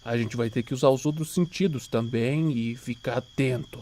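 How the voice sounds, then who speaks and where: A man voices a stern old male survivalist in an audio drama, mid-pitched and guarded. He talks firmly and cautiously, like a leader keeping his group safe. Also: moderate pace, darkish tone, a little hiss or hum, lightly acted. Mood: hushed, firm.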